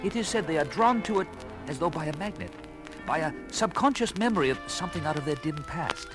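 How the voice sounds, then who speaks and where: An actor playing a learned, courteous ape scientist on the run in a 1970s audio adventure, with erudite, hesitant, formal speech. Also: male voice, fairly high pitch, lively intonation, fairly quick, close to an everyday voice. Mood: thoughtful. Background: dramatic music.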